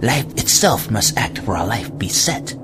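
Soft-hearted executioner, played by a man: fervent, widely swinging declamation in verse, ambient noise behind. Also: fairly low pitch, very animated, unhurried. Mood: pleading, urgent. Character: remorseful executioner, a loyal slave urging flight. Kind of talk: audio drama verse recitation.

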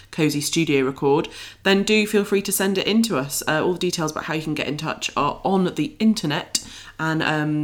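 A woman hosting an arts podcast in casual chat, with a playful, wryly joking manner. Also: low voice.

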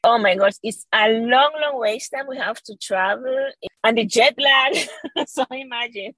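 A woman's voice: a little high, unhurried, no echo, poor audio. A woman from Venezuela with a Venezuelan Spanish accent, talking about wine as a guest in a casual interview, enthusiastic and laughing often. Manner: warm, enthusiastic, laughs often, restarts phrases. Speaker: podcast guest, wine educator.

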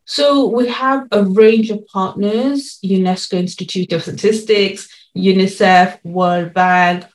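A woman giving explanatory interview answers as a policy lead, laying out points in measured, formal terms. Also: mid-range voice, steady tone, poor audio.

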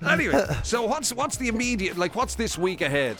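A man's voice: high voice, big pitch swings, brisk, a bit bright, soft background music. An Irish man co-hosting a radio show in casual comic banter, with a wry, teasing, self-deprecating manner.

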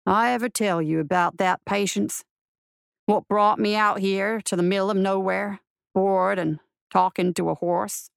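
A woman performs a male frontier marshal from a weird-western storybook, pitched down low. He is wry and rueful, talking in a folksy, bored way like someone serving out a long penance. The sound is dry and close. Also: steady tone, measured pace, gravelly, clear, resonant tone, no echo.

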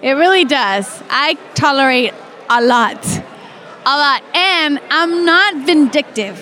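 A woman's voice: sits high, expressive, slow pace, pushed and bright. A woman in her thirties, chatting as a guest on a talk show and laughing often in a frank, playful way. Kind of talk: casual chat.

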